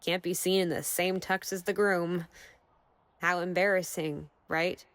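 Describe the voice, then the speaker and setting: A woman plays a sardonic, practical young woman in an audio drama. Her voice is on the low side, matter-of-fact, in a dry, close recording. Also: fairly even intonation, measured pace, a bit bright, dry recording.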